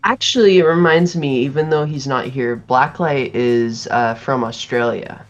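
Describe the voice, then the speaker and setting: A man's voice: mid-range voice, measured pace. Young american man, muffled call audio. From United States. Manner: relaxed, chatty storyteller. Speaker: music group member. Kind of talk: casual interview chat. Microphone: video call.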